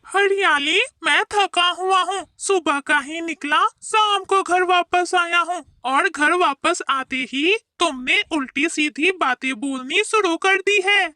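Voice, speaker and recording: A woman's voice: extremely high pitch, slow, few pauses, bright, forceful tone, no room sound, clean studio sound, heavily acted. A woman voicing a tired, patient male parrot husband in a cartoon, with a weary, exasperated manner. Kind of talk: cartoon voice acting.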